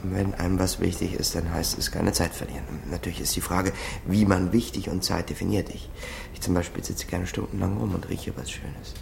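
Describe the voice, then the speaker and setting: A smooth-talking small-time crook in a radio crime play. His voice is low and gravelly, his manner wry and a little rambling. There is some noise behind him. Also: little pitch movement, fairly quick, a little mellow. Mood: flirting, rambling. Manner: wry, hesitant, rambling in dialogue. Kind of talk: radio crime drama, dialogue and first-person narration.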